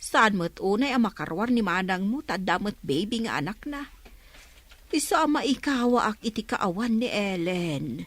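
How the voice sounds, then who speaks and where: Casting: woman to play worried, inquisitive mother of grown children, Ilocano accent, mid pitch, dry radio drama sound. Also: expressive, moderate pace, no room sound, close to an everyday voice. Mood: wistful, approving. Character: concerned, questioning village mother of grown children.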